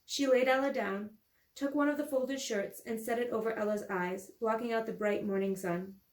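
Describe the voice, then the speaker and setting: A woman's voice: on the high side, fairly even intonation, moderate pace. A woman, an author, reading her novel aloud as scripted story narration in an echoey room.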